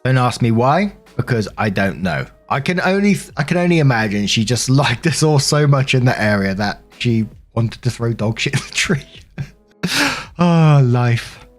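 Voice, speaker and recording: A man's voice: medium pitch, very animated, unhurried, faint music behind. A British man hosting and narrating stories casually, in a chatty, rambling, sweary way. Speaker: narrator and host of a story compilation. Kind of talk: casual storytelling with personal commentary.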